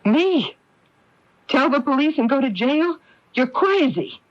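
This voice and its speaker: An actress playing a middle-aged sister in a radio mystery, sweet on the surface but defensive, with an emphatic, theatrical delivery on a radio broadcast recording. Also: female voice, high-pitched, sing-song, slow pace, lo-fi sound, heavily acted. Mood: bitter, defiant. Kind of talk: old-time radio mystery drama acting.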